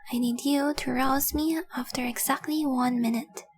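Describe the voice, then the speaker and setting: Woman acting a high, breathy, adoring dragon girl. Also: steady tone, moderate pace, faint music behind. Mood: earnest, warm. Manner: tender, breathy, often dropping to a whisper, lingering on words. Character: adoring, possessive dragon-blooded young woman, engaged girlfriend. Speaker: audio roleplay performer. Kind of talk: intimate romantic audio roleplay, addressed to the listener.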